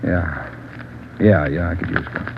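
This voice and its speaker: An actor playing an evasive, defensive murder suspect in a 1950s radio crime drama, giving curt answers. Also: male voice, sits low, unhurried, vocal fry. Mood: weary, testy. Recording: dull, noisy vintage radio recording.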